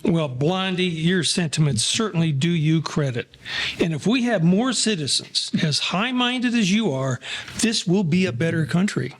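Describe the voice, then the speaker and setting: An actor playing a flattering, agreeable Broadway wise guy in a gangster audio play, speaking with formal courtesy. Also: on the high side, moderate pace. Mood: admiring, courteous. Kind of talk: old-time gangster audio play acting.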